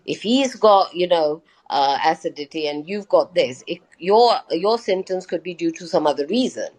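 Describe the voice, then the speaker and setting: A woman's voice: on the low side, animated, moderate pace, darkish tone. A woman doctor and lecturer, a guest in a live interview, explaining things patiently with many hesitant fillers. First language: not Hindi.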